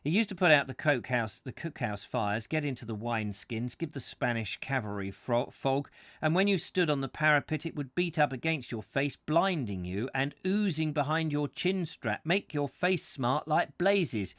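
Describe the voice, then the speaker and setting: Man playing a Roman centurion, hearty, exclamatory, exaggerated storyteller, lifted pitch, dry sound. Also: animated, moderate pace, a bit bright, no echo, close to an everyday voice. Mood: grumbling, exclamatory. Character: hearty Roman centurion reminiscing about the wall.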